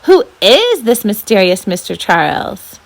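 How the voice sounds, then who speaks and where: A woman voicing a whiny, excitable young boy in a storybook, quick to doubt and question. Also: mid-range voice. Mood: incredulous. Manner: whining, incredulous.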